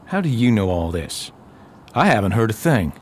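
A man playing a courteous, wary gentleman investigator in a radio drama, inquisitive and concerned. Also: on the low side, sing-song, moderate pace. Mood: curious. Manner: inquisitive, varied intonation. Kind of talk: amateur radio drama acting.

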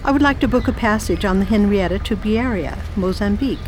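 A woman voicing a proper maiden lady of fifty, polite, prim and curious about the world. Her pitch is middling, and a steady hiss sits under the radio-drama recording. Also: a little fast, natural voice. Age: 50s. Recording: noisy hissy recording.

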